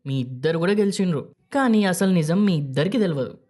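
A man's voice: high voice, animated, a little fast, darkish tone. Man playing ageing village father, Telangana accent, firm, wise, affectionate, admonishing, storybook dialogue. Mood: gently chiding. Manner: admonishing, rhetorical questions to his sons. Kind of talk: acted dialogue in a moral story.

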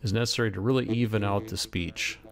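Male instructor for screen tutorial: methodical, explanatory, gravelly fry, lower register. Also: steady tone, quick pace. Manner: methodical, step by step. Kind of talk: explanatory software demonstration. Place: voice-over screen recording.

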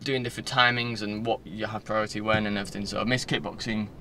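A man's voice: on the low side, little pitch movement, fast, sharp tone. A man in his twenties with a Northern English accent, chatting casually and loosely in a rambling vlog, recorded on a phone at arm's length inside a car. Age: 20s. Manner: informal, loose, digressive. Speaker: vlogger. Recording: faint car-interior background noise.

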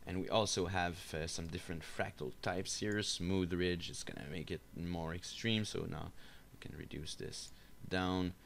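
A man giving an unscripted software screencast tutorial, explaining step by step in a halting, hesitant way. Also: low voice, fairly even intonation, vocal fry, a little hiss or hum. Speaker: tutorial instructor. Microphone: voice-over for a screen recording.

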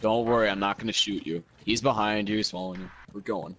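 A man's voice: fairly low pitch, fast. A young man chatting over voice chat while playing a shooter game, laughing a lot and swearing freely. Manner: laughing, profane, excitable. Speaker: gamer on voice chat. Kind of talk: casual gaming banter.

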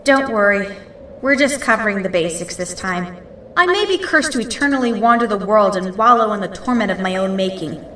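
A woman's voice: medium pitch, expressive, fairly quick, bright, forceful tone, roomy sound, far from the mic, a little hiss or hum. A woman voicing a bored, flippant female ghost pony in a comic dub, offhand and sardonic.

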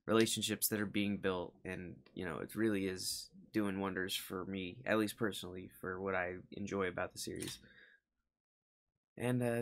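A man's voice: lowish voice, a bit bright. A man in his thirties giving casual, laid-back commentary as a reaction video host. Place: small indoor room.

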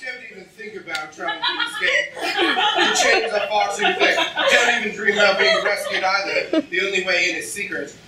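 A man's voice: high voice, very animated, moderate pace. A young man playing a creepy, gloating dungeon henchman with menacing delivery in an amateur staged reading. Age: young adult. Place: lecture hall stage. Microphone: far camera. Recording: echoey hall, distant, loud audience noise.

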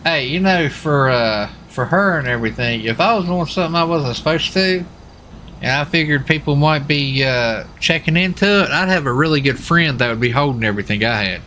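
A man's voice: fairly high pitch, expressive, fairly quick, pushed and bright, a little hiss or hum. A man in casual roleplay game chat who rambles and repeats himself. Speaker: player in online roleplay game.

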